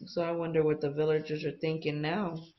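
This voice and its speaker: A woman teacher reading a picture book aloud to young students in an expressive storytelling style. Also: deep voice, fairly quick, dull audio.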